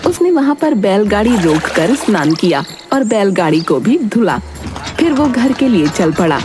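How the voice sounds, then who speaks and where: A woman narrates a children's moral tale. Her mid-pitched voice is expressive and moves up and down a lot, with music playing clearly underneath. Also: normal speed. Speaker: story narrator.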